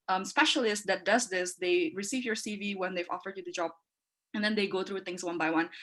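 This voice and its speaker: Young woman, from Indonesia, bright tone, chatty panel advice, casual. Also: mid-range voice. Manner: chatty, frequent "like" fillers. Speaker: panel speaker.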